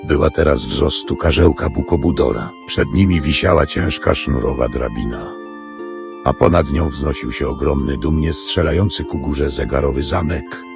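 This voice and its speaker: A man's voice: very deep voice, fairly even intonation, moderate pace, vocal fry. A man narrating a scripted fairy tale as the storyteller of an audio drama. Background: music.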